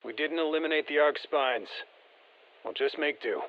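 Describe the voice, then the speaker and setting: An actor playing an easygoing special forces operator in a video game, giving colloquial, wry mission instructions over a radio. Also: male voice, on the high side, normal speed, muffled recording. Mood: wry, focused. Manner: colloquial, wry, plain-spoken.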